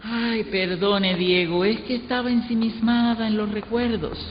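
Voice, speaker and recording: A woman's voice: a little high, steady tone, measured pace, natural voice. An actress playing a dreamy, formal lady in a theatrical historical radio drama. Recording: muffled hall playback with faint noise.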